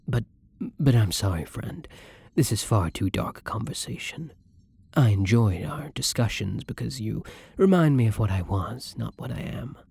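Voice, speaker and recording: A man playing a melancholy, gentle vampire in an intimate ASMR roleplay, soft-spoken and breathy, with hesitant, wistful, formal phrasing. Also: lowish voice, animated, moderate pace, dry recording, heavily acted. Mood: apologetic, warm. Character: melancholy, gentle male vampire, weary and self-loathing.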